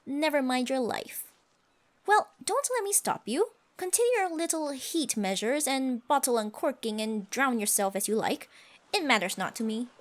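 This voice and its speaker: A woman voicing a proud, scoffing tsundere siren with stiffly formal phrasing in an ASMR roleplay. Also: high-pitched. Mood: huffy, dismissive. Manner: haughty, scoffing, flustered stammers, archaic formal phrasing. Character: haughty tsundere siren, proud, secretly smitten sea creature.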